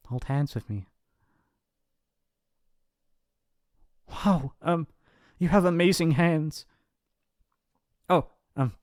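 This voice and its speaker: A man playing a shy, gently possessive young mafia heir in an intimate ASMR roleplay, speaking hesitantly and affectionately. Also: mid-range voice, no room sound, clean studio sound, lightly acted. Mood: shy, flustered. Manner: hesitant, earnest, stammering, affectionate. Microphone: close studio mic, dry.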